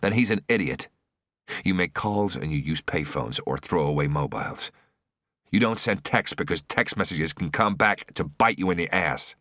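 A man's voice: sits low, expressive, moderate pace, sharp tone, no echo, clean studio sound. A man voicing a stern undercover rescuer in an audiobook thriller, curt, scolding and authoritative. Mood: scornful.

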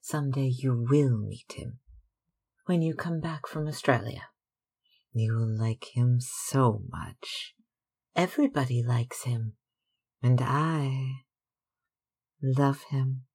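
A man's voice: medium pitch, slow pace, pauses often, darkish tone, husky, voice pushed up. A man voicing an eager, lovestruck young actress in an audiobook, gushing and exclamatory. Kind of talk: audiobook dialogue reading.

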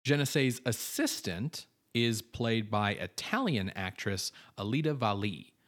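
A man's voice: lowish voice, expressive, measured pace, fairly bright tone. A man co-hosting a film podcast, reading background notes on cast and crew in an informative way, with casual fillers and asides. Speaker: podcast co-host.

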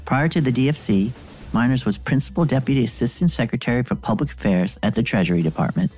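A man's voice: medium pitch, quick pace, a little mellow, slightly rough, faint music behind. A man presenting a scripted business news roundup. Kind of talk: scripted industry news reading.

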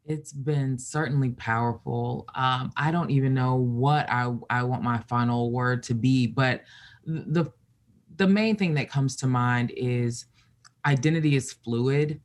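A man's voice: mid-range voice, unhurried. A man speaking as a panel guest, searching for his words as he talks. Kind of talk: casual panel discussion.

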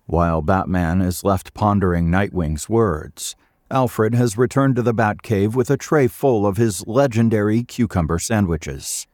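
A man's voice: on the low side, a little slow, darkish tone, dry recording, clean studio sound. A man reading scripted narration for an audio drama.

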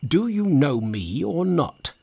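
Someone doing a pompous warrior spirit from a storybook, imperious, threatening, lots of swing in the pitch. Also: sing-song, unhurried, husky, full character voice. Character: pompous, menacing male warrior spirit, heavenly commandant. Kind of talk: dramatised storybook reading.

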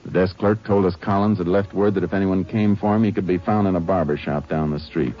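An actor playing a calm, clipped male Texas Ranger who narrates his own case in a 1950s radio drama. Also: deep voice, brisk. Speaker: narrator and lead. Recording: muffled old radio recording with music.

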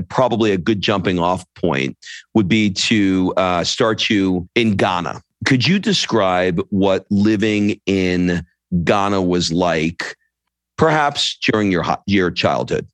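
A low-voiced man hosts his podcast. He reads the sponsor messages with upbeat energy, then eases into soft, measured questions for his guest. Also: animated. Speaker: podcast host and coach. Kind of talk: scripted show intro, then interview questions.

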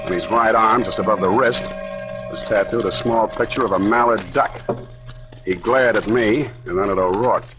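An American actor playing a tough, cynical, wisecracking private detective in a 1940s radio drama, clipped and sardonic. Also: male voice, fairly low pitch, moderate pace, over music, natural voice. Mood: wry, tense.

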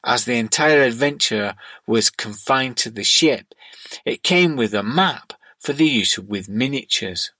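Middle aged guy doing scripted podcast narration, close dry mic, average pitch. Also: a bit bright, dry recording. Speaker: podcast host. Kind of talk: scripted podcast narration, reading listener letters aloud.

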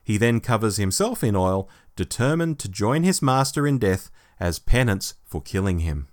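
Male podcast host, scripted recap narration, very animated pitch movement, deliberate headings. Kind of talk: scripted plot summary narration.

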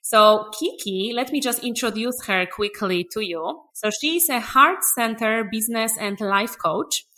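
A woman's voice: fairly high pitch, a little slow, hardly any pauses, sharp tone. A woman hosting a motivational podcast, talking in an enthusiastic, encouraging way. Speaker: podcast host.

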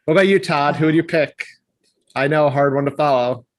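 A man's voice: on the high side, brisk, crowd noise. A man hosting a podcast in a casual, chatty group conversation. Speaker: podcast host.

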